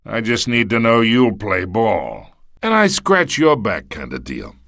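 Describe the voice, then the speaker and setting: Middle-aged mob boss, taunting, streetwise, very animated pitch, dry close sound, game villain. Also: male voice, measured pace, creaky, no room sound, full character voice. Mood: persuasive, threatening. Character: smug, menacing middle-aged male crime boss. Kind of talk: video game voice acting.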